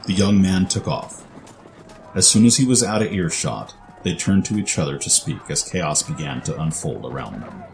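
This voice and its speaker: A man's voice: low voice, lively intonation, moderate pace, vocal fry, darkish tone, faint music behind, low-quality recording. A man narrating a scripted fantasy story in a dramatic style.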